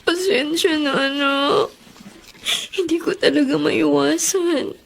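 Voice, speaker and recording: A woman acting a tender, high-voiced Filipino mother. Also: steady tone, vocal fry, exaggerated voice. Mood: tearful, pleading. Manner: tender, halting, emotional delivery. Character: ailing, tearful, devoted mother of a young child.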